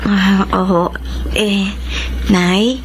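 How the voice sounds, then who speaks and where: An actress playing a young pregnant wife with a Filipino accent, whiny and pleading, in a radio drama. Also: female voice, mid-range voice, steady tone, extremely slow. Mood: hesitant, pleading.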